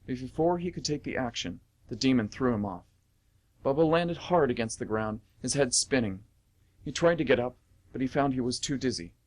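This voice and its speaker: A man's voice: medium pitch, lively intonation, moderate pace, a little mellow. A man reading dramatic story narration as the narrator of an amateur radio play.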